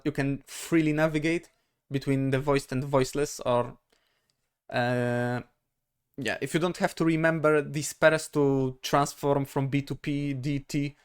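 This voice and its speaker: A man from Poland, a native Polish speaker with a Polish accent, teaching grammar informally in a halting, methodical way, asking himself short questions as he explains. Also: on the high side, clean tone. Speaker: language teacher.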